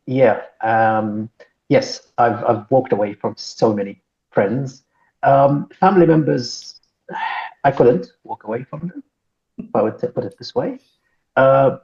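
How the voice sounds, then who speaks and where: A man's voice: on the low side, mellow, highs cut off. A man from Syria, co-hosting a podcast chat and speaking thoughtfully with many fillers and tag questions. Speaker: podcast co-host. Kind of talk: casual panel discussion.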